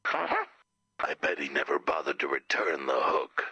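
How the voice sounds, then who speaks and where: Very deep, harsh monstrous slasher. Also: male voice, wildly swooping pitch, a little slow, sharp tone, dry recording, muffled recording, exaggerated voice. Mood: dry, sullen. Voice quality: gravelly, rasping growl. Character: monstrous male slasher killer, brooding, wounded, easily hurt. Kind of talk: video game character acting.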